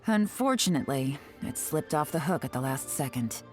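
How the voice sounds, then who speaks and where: A cool female spy with a lowish, even voice. She is composed and wry, laying out her schemes with quiet confidence. Faint music hums beneath her in this game scene. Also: normal speed. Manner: composed, wry, self-assured. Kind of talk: game cutscene dialogue.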